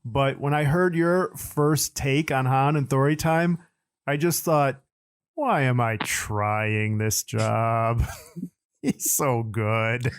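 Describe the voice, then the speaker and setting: Podcast host, man, medium-pitched voice, easygoing conversational banter. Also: takes it slowly, clear, resonant tone, dry recording, clean studio sound. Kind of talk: casual podcast chat.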